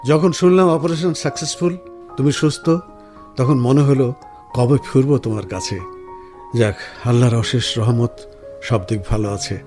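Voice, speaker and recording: A man acts a caring professional husband in a radio play. His voice sits at a middling pitch and he speaks tenderly and reassuringly. Light music plays behind him. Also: moderate pace, long pauses, a little mellow, lightly acted. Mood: tender, relieved. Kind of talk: Bengali radio drama acting.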